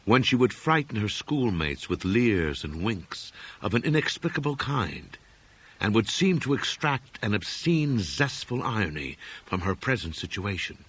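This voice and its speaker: Male audiobook narrator for gothic horror: low, husky voice, widely varied intonation, measured dramatic phrasing. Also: very animated, fairly bright tone, rough recording.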